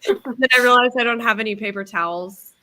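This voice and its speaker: A woman's voice: a little high, fairly quick, noisy background. A young woman chatting casually with friends in a book club call, rambling with lots of fillers and laughs. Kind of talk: casual group chat on a video call.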